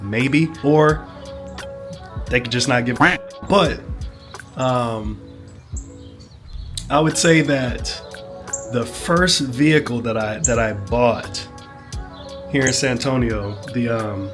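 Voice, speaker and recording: A man, sick and a bit hoarse, telling a long rambling story in a casual vlog, full of "you know" and "whatnot". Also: medium pitch, takes it slowly, pauses often, a bit bright, faint music behind. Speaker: motorcycle vlogger.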